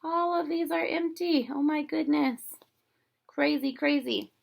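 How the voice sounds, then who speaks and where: Female storybook reader for kids, expressive and chatty, slower pace with some creak in the voice. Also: sits high. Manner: chatty, playful, expressive, exclaims and comments to young listeners. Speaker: teacher reading a children's book aloud. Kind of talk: animated story read-aloud with casual asides.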